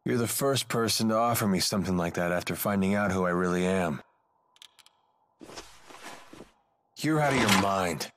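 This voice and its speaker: A man's voice: sits low, fairly quick, fairly bright tone, slight background noise. An actor playing a cynical, worldly, guarded man in a video game, with a dry, sardonic manner. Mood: bitter, guarded. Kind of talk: English game voice acting.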